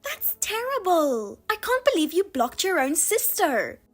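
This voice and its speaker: A woman plays a husband-stealing younger sister in a voiced drama, smug and taunting, her high voice leaping all over as she drags out her words. Music plays underneath her. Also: big pitch swings, exaggerated voice. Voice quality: high and sing-song, taunting. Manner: mocking, self-satisfied, drawn-out teasing.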